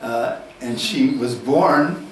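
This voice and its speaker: Slow male lecturer, echoey room. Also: medium pitch, lively intonation, slight background noise. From United States. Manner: slow, deliberate, poses questions then answers them. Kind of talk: university lecture. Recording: echoey room, distant mic, faint ambience.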